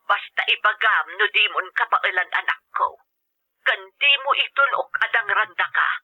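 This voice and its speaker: Through a dull, band-limited phone call comes a tender, worried older mother, played by a woman in an audio drama. She has a mid-pitched voice with a plaintive, fretful way of talking. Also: animated, normal speed, breathy edge. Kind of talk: scripted drama acting.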